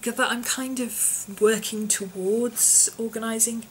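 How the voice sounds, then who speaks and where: A woman, her voice hoarse and husky from an infection, chatting casually in a vlog with lots of ums and small laughs. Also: fairly high pitch, steady tone, a little slow, slight background noise, low-quality recording. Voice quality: husky, often whispery. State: hoarse, voice partly lost from infection. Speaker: vlogger.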